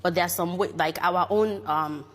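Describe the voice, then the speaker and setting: A woman who grew up in Africa speaks in a fairly low voice, thinking things through out loud. She talks earnestly and casually, and her voice carries a long reverb. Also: moderate pace. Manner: earnest, reflective, speaks in quoted asides. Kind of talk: casual reaction video.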